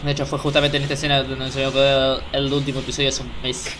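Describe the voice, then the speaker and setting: A man's voice: mid-range voice, completely flat delivery, quick pace, noisy background. A young man with an Argentine accent and a congested nose, chatting casually and in a rambling way as he hosts a reaction video. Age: young adult. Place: small bedroom at home.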